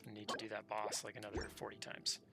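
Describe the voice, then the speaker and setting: A man's voice: on the low side, completely flat delivery, quick pace, vocal fry. A man livestreaming a game, chatting casually in an easygoing, slangy, conversational way. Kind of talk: casual chat while gaming. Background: game music.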